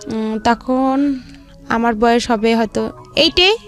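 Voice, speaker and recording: Female, Bangladeshi accent, very high voice, rambling personal storyteller, faint music underneath. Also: slow pace. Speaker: guest telling their life story.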